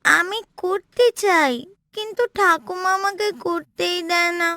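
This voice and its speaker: Casting: affectionate little granddaughter acted by a woman, high and sweet, eager, rural cartoon tale. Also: fairly even intonation, a little slow, bright, forceful tone, no echo, studio quality, full character voice. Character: cheerful little village girl, affectionate granddaughter. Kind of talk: cartoon voice acting.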